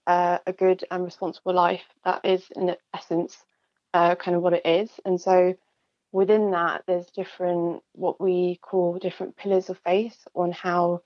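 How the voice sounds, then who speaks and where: A woman guest on a podcast, speaking in a relaxed, measured way and giggling easily. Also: on the low side, no echo, highs cut off. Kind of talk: casual conversational interview.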